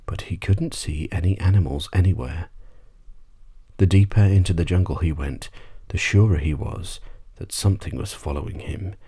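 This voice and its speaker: A man narrating a bedtime story audiobook in an ASMR style, husky and gently measured. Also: a bass voice.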